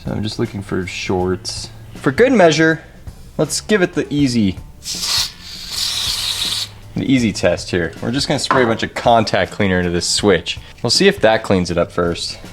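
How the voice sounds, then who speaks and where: A man with a low voice talks casually through a hands-on repair. He rambles and jokes in a wry, sweary way as host. Faint music sits behind him. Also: big pitch swings, moderate pace.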